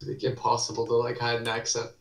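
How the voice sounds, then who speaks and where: A man talking over team voice chat, sitting back from his mic in a roomy space. He snaps out quick calls during fights, then rambles and laughs in the lulls, in a middle voice. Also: mid-range voice, monotone, far from the mic. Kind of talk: casual gaming chat with callouts.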